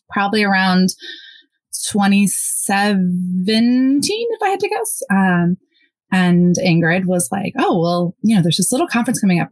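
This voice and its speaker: Excited woman guest telling her story. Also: mid-range voice, breathy edge, no room sound. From United States. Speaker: interview guest. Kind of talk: casual podcast interview.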